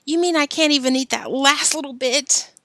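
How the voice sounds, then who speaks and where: Brief: woman voicing a shrill, stubborn young boy in a storybook reading, bright and lively, dry close sound. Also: moderate pace, dry recording. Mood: pleading. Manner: shrill yelling and chanting.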